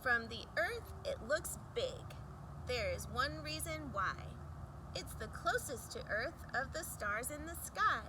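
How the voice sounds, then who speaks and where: High, animated woman reading to children. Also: background noise. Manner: sing-song, emphatic delivery with deliberate pauses. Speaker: children's book reader. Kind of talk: expressive read-aloud of a rhyming picture book for children.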